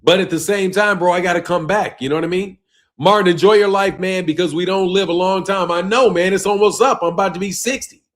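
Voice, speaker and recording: A man, hosting a boxing channel live stream and talking loosely and emphatically, dropping low at the ends of phrases. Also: a little fast. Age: 50s. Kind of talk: casual live-stream rant reading chat.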